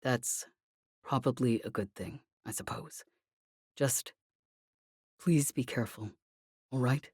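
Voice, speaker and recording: A woman voicing a careful, anxious scholarly man in an audiobook, hushed, hesitant and restrained. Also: rumbling low, takes it slowly, long pauses, husky, no echo, clean studio sound, pitched below the performer's own voice, exaggerated voice. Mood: strained, worried. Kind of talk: audiobook character voice.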